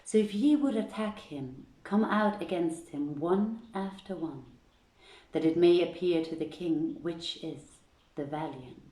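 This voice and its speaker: A woman reads as a young storybook princess, bold and commanding. The voice is low and unhurried, with formal, archaic phrasing that gives orders plainly, heard in an echoey room. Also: warm tone, lightly acted. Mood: proud, commanding.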